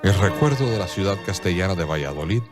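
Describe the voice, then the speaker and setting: A man narrating a scripted radio drama as a measured, solemn storyteller. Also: low voice, animated, loud music behind the voice.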